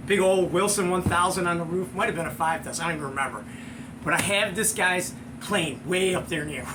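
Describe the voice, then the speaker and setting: A middle-aged American man hosting and telling a casual, slangy anecdote, excitable and acting out the sound effects. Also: high voice, noisy background. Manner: excitable, slangy, chuckling storyteller. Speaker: show host and storyteller.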